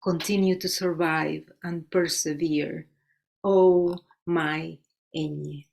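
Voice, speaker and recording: Slow, measured woman. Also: sits low, fairly even intonation, gravelly, darkish tone. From Colombia. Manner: slow, measured recitation with Spanish words. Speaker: poet. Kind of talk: poetry reading.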